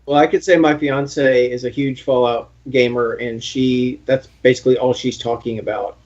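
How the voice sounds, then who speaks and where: A man hosting a casual online pop culture chat show, chatty and quick to laugh. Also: mid-range voice, fairly even intonation, a little mellow, muffled recording. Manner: chatty and joking, laughs a lot. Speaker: podcast host.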